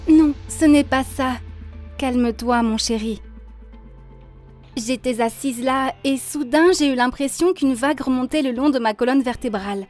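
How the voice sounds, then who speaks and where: A woman playing a brave, gentle young woman in love, speaking earnestly in cartoon dub dialogue. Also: high-pitched, normal speed, soft background music, lightly acted. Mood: soothing, hopeful.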